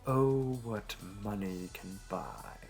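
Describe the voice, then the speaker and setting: A man playing a smug, flirty supervillain in an audio roleplay, talking in a teasing, theatrical, unhurried way. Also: fairly low pitch, sing-song, gravelly, a little mellow, heavily acted. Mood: confiding, smug. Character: smug, flirty male supervillain hosting a hero in his lair. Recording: music behind. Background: background music.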